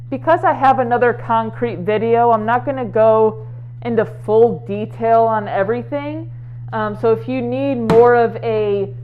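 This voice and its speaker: Slow-talking woman, muffled through a mask. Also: mid-range voice, slight background noise. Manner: relaxed and chatty, many ums, light laughs. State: muffled and nasal from a face mask. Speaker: candle maker showing a craft. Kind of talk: casual tutorial talk. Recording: tinny phone-like sound.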